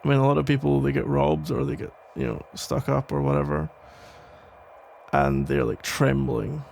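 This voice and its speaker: A man playing a protective, tender police officer still in love, speaking haltingly in an intimate ASMR roleplay. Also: medium pitch, vocal fry, a little hiss or hum. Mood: admiring, gentle. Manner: hesitant, halting, rambling with sighs and soft chuckles. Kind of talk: ASMR roleplay, intimate one-sided conversation.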